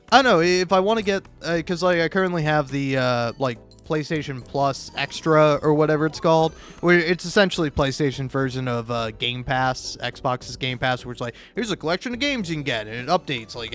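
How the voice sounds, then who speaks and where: A man's voice: mid-range voice, fairly bright tone. An American man from Florida rambling casually as a gaming creator, hesitant and self-deprecating, often stammering and repeating words. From Florida, United States. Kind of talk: casual rambling chat over gameplay. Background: music.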